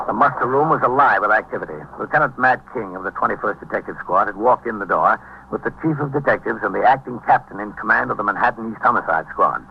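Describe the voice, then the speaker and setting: A man playing a calm, businesslike police captain in a 1950s radio drama, speaking and narrating in a measured, official American voice. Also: fairly low pitch, gravelly, a little hiss or hum. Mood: matter-of-fact narration. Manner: measured, matter-of-fact, official. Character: calm, businesslike male police precinct captain telling his case. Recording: dull 1950s radio broadcast recording.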